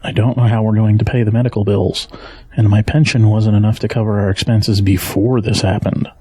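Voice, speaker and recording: Man playing a husky, worried middle-aged dad. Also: fairly low pitch, level tone, a little fast, mellow, no echo. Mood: worried, weary. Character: worried, gentle middle-aged father caring for his ailing wife. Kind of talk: audio drama acting.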